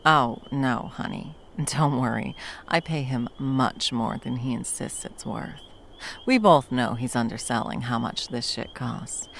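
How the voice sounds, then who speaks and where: A woman playing a battle-worn monster hunter, gruff but tenderly protective, in an intimate ASMR roleplay with soft teasing and drops into whispers. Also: extremely low pitch, a little hiss or hum, exaggerated voice.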